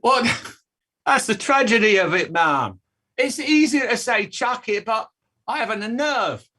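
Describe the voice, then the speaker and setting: An actor playing an aggrieved old dustman with a raspy voice, declaiming his complaints in a staged reading. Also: very high-pitched, animated, measured pace, bright, forceful tone, heavily acted. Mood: resigned lament. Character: blustering, aggrieved old working-class English dustman.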